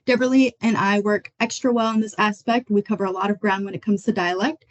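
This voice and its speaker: A young adult woman from Florida giving a measured, prepared book talk over a video call. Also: mid-range voice, fairly even intonation. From United States (Florida). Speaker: book selection editor. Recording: muffled dull audio.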